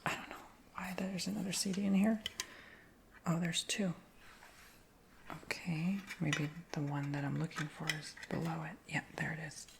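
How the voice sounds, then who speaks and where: A woman whispering casually in a show-and-tell video, rambling with lots of fillers and slowly reading out labels. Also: sits low, flat delivery, background noise. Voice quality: soft whisper with creaky edges. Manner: reads names and titles slowly.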